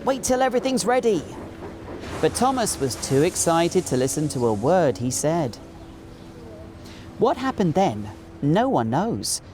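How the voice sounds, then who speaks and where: Very animated British male storyteller. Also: on the high side, unhurried, noisy background. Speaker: storybook narrator. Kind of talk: animated children's story reading. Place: small indoor recording studio.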